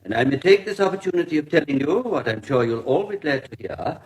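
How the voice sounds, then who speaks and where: An actor playing a formal, courteous British coroner in a radio drama, with measured official diction. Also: male voice, fairly high pitch, big pitch swings, darkish tone, rough recording. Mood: formal, satisfied. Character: formal, courteous English coroner presiding over an inquest.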